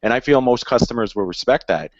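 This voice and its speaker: A man's voice: mid-range voice, animated, a little fast, no room sound. A man in his thirties, an integrator guest, giving firm opinions in a casual panel chat over a headset. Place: home room with guitars. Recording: dry, dull headset audio.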